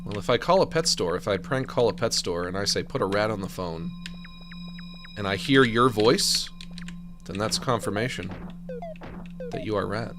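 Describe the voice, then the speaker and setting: Man, general American accent, silly rambling game stream talk, music clearly playing underneath. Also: fairly low pitch, animated, normal speed, long pauses. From New England. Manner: goofy, rambling, laughing at own jokes. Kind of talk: casual live game commentary.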